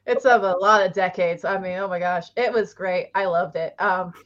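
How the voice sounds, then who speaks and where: A woman's voice: on the low side, steady tone, moderate pace, a bit bright, muffled recording. A woman co-hosting a casual book club chat, rambling through thoughts with many fillers and frequent laughter.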